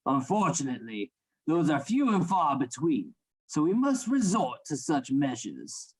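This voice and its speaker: An actor playing a stern, secretive anatomy lecturer in a radio play, formal and instructing. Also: male voice, fairly high pitch, wildly swooping pitch, measured pace, breathy edge, full character voice, poor audio. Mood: businesslike.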